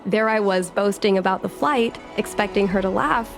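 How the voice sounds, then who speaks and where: Here is a woman acting as an earnest, determined young woman in a video game. Her voice sits at an average pitch with a creaky edge. She speaks expressively and proudly, over clearly audible music. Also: normal speed, natural voice. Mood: reminiscing. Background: background music.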